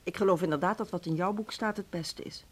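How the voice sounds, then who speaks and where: Een actrice speelt een beleefde maar besliste medewerkster van een muziekschool in een hoorspel, afgemeten sprekend. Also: female voice, fairly low pitch, natural voice. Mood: mildly impatient. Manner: measured, polite but firm. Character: Gerda, firm, formally polite woman music-school staffer. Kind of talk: Dutch radio drama acting.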